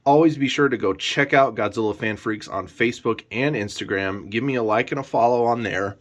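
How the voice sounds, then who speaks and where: A man's voice: medium pitch, a little fast. A man talking casually and unscripted as a reviewer in a vlog, rambling along with frequent "you know" hedges. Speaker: YouTube collector reviewer.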